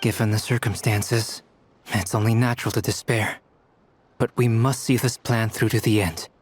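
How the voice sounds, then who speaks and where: A man playing a polite, scholarly researcher in a game dub, speaking in a measured, formal, analytical way. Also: fairly low pitch, steady tone, slight background noise, lightly acted. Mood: grave, absorbed. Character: polite, scholarly young male researcher absorbed in theory. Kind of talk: English video game dub acting.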